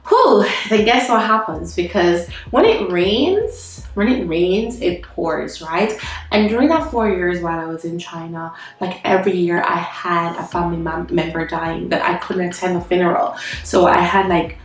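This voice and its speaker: Nasal Jamaican woman, low voice, echoey room. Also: expressive, moderate pace, distant mic. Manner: candid, storytelling, many fillers and sighs. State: nasal from a cold. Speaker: vlogger. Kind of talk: casual life-update vlog. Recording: very echoey room, away from the mic, soft music behind.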